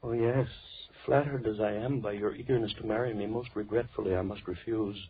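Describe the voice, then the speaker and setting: A husky, mock-gentle radio-drama madman. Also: lowish voice, steady tone. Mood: controlled irritation. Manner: theatrical, mock-polite, slow musing monologue. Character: soft-spoken deranged male killer, lonely, mock-gentle, menacing. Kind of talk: 1940s radio horror acting. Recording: muffled, tinny old radio recording.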